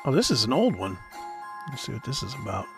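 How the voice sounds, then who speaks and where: A man's voice: medium pitch, big pitch swings, quick pace, vocal fry, music in the background. A man hosting a talk show in a casual chat, with irreverent banter and loose swearing.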